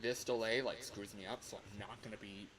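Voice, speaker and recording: A man's voice: fairly low pitch, steady tone, fairly quick, fairly bright tone, rough recording. Chatty man livestreaming, noticeable background noise. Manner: chatty, rambling, informal. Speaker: livestream host. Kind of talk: casual livestream tutorial. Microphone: microphone on a home streaming setup.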